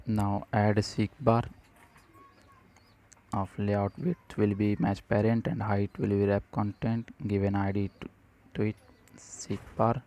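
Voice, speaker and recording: A man narrating a step-by-step software tutorial, speaking in halting short phrases as he dictates each step. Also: on the low side, flat delivery. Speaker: tutorial presenter.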